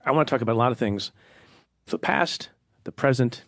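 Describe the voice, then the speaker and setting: A man's voice: medium pitch, a little fast, no echo, clean studio sound. A man from India hosting a podcast interview, asking questions in a joking, teasing way. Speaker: podcast host.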